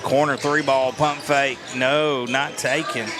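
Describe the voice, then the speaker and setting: A man, announcing a high school basketball game play-by-play and bantering with his partner in a school gym. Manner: quick, excitable calls with friendly banter. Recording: loud gym noise behind. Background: gym crowd and bouncing basketball.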